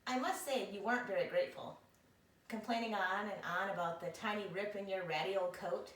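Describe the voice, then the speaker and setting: A self-pitying, indignant pet dog, played by a woman pleads and grumbles theatrically in an expressive storybook reading. The sound is echoey, picked up at some distance from the microphone. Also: medium pitch, brisk, close to an everyday voice. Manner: theatrical, wheedling complaint. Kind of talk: expressive picture-book read-aloud.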